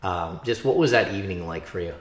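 A man with a fairly low voice, recorded with clear room echo. He hosts a sports podcast in a casual, conversational way, working through long, halting questions. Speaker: podcast host, sports interviewer. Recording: echoey, roomy sound.

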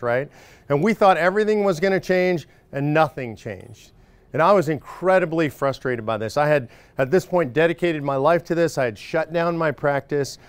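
Man, 40s, loud, joking, speech to supporters, smooth, dry sound. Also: a little high, dry recording. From Northern California. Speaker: speaker addressing supporters. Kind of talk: casual stump speech. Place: room with live audience.

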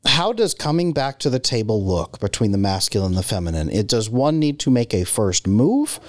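A man's voice: lowish voice, big pitch swings, normal speed, dry recording, clean studio sound. A man co-hosting a podcast, talking earnestly and asking long questions. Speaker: podcast co-host. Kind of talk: conversational podcast talk. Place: United States.

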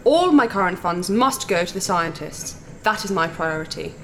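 A woman playing a massive, blunt insectoid alien princess in a sci-fi audio drama, speaking in a formal, deliberate, regal way with odd vowel sounds. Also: fairly low pitch, expressive, slight background noise. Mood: lofty, matter-of-fact.

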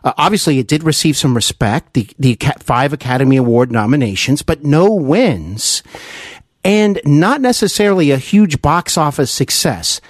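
A man hosting a conversational interview, speaking in wordy, effusive, halting phrases. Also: on the high side, expressive, no echo, clean studio sound. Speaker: interview host.

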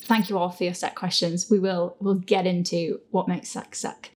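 A woman's voice: on the low side, little pitch movement, moderate pace, a little mellow, a little hiss or hum. A woman hosting a casual, chatty question and answer session about her audio fiction, in a giggly, self-deprecating way. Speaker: host and audio fiction creator. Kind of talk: casual Q&A chat.

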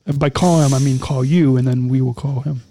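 A man's voice: mid-range voice, steady tone, fairly quick, dark tone, a little hiss or hum. A man co-hosting a casual podcast, signing off with repeated, stumbling, upbeat plugs. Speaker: podcast co-host.

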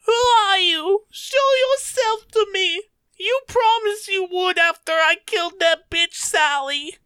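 A man voicing a tearful, unhinged young male fox in a frantic, sobbing falsetto. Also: slow, sharp tone, no echo, studio quality, heavily acted.

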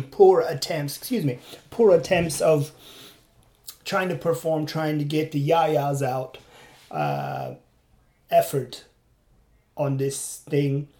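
A man's voice: on the high side, a little mellow, echoey room. A man from Turkey hosting a casual podcast monologue while running, rambling and reflective with many fillers. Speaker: podcast host and comedian.

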